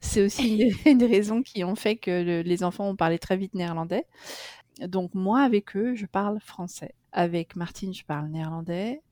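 A middle-aged French woman chats casually as an interview guest. She hesitates often, fills pauses with "euh", and restarts her sentences. The sound is dry and close, with a medium pitch. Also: dark tone, no echo. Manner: chatty, hesitant with many fillers and restarts.

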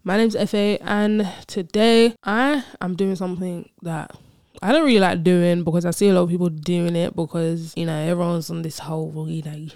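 A woman's voice: on the low side, steady tone, moderate pace, darkish tone, clean tone, no echo, clean studio sound. A woman, hosting a casual solo podcast and telling stories in a chatty, slangy way. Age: 20s. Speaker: podcast host.

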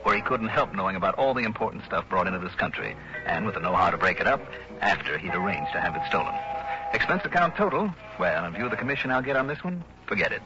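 An actor playing a confident, wry American investigator in a 1960s radio drama, brisk and commanding. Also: male voice, lowish voice, very animated, music in the background. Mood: wry, narrating. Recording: muffled, noisy old radio recording.

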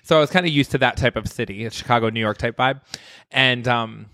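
An upbeat male podcast host from the Midwest, chatting warmly with his guest. His voice is mid-pitched and recorded close and dry, with no room sound around it. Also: brisk, no echo. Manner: upbeat, chatty, laughs often. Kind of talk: casual interview chat.

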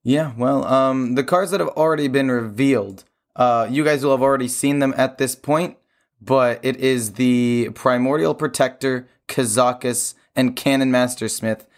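A man with a sore, hoarse throat hosting a gaming show, explaining his opinions in casual conversation. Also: mid-range voice, normal speed. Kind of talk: casual chat about a card game.

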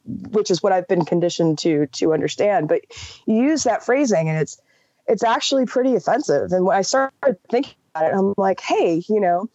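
A woman's voice: medium pitch, animated, moderate pace, mellow, slightly rough, dry recording, muffled recording. A woman from Wisconsin with an American accent, a panelist on a podcast call, talking earnestly with many fillers and restarts. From Wisconsin, US upper Midwest. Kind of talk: casual roundtable discussion. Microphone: call audio.

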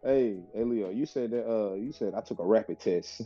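A young man on a casual podcast chat, throwing in quick joking quips. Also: lowish voice, dark tone, slightly rough, soft background music. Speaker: podcast co-host. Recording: dull lo-fi recording.

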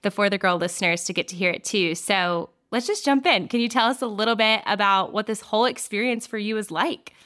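A woman's voice: medium pitch, a little fast, few pauses, a bit bright. A woman hosting a podcast, bubbly and enthusiastic as she introduces a guest. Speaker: podcast host. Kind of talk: upbeat podcast host introduction.

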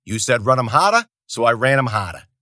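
A man's voice: medium pitch, big pitch swings, fairly quick, bright, forceful tone, dry recording, clean studio sound. A man voicing a weary, reluctant male soldier leader who speaks in a subdued way.

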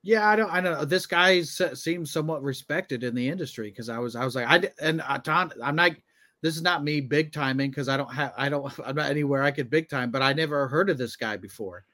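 A man's voice: fairly high pitch, brisk, bright, forceful tone, no room sound. A man who co-hosts a comedy podcast, chatting casually in a hesitant, self-deprecating way. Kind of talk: casual chat.

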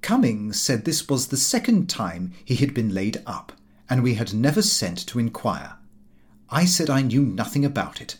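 A man's voice: medium pitch, big pitch swings, normal speed. A man reading a comic diary novel as an audiobook, with fussy, indignant storytelling. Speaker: audiobook reader.

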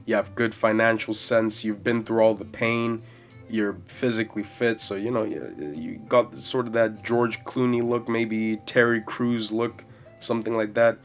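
A man in his twenties hosting a solo podcast, rambling casually and swearing freely in a loud voice with bass. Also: fairly even intonation, measured pace. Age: 20s. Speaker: podcast host. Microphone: podcast mic. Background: soft jazz music.

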